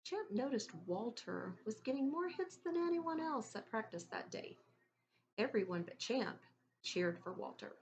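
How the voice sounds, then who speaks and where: A woman reading a children's story aloud in an expressive, deliberate teacherly way, pausing to put questions to her listeners. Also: on the high side, lo-fi sound.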